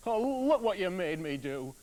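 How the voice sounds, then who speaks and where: Brief: man playing a grumbling storybook woodcutter, quick to shout, very animated. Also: high voice, voice pushed up. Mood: angry. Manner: blustering, complaining. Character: hot-tempered poor woodcutter, middle-aged man.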